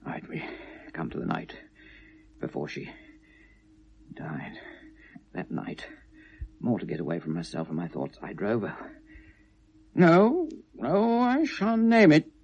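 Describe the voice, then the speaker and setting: Tormented British man confessing, wildly swinging pitch. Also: animated, creaky, highs cut off. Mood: haunted, hushed, then edgy, agitated. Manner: halting, bitter confession, swinging from hushed to anguished. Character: tormented, obsessive lovelorn man confessing to police. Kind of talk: 1970s British radio drama monologue. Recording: noisy vintage radio play recording.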